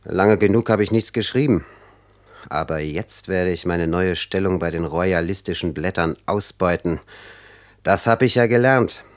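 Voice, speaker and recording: A man's voice: deep voice, moderate pace, lightly acted. An actor playing a proud, brooding, ambitious young man in a radio play. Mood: resolved. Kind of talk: German radio play acting.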